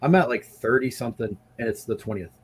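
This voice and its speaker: A man in his twenties hosting a casual chat, excitable and quick, swearing freely. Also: medium pitch, faint music behind. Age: 20s. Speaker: podcast host.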